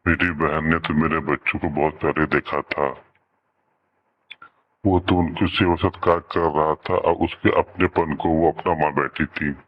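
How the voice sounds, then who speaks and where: A man's voice: rumbling low, flat delivery, unhurried, dull audio. A man playing an earnest, pleading village brother in a children's cartoon. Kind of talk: Hindi children's cartoon dialogue.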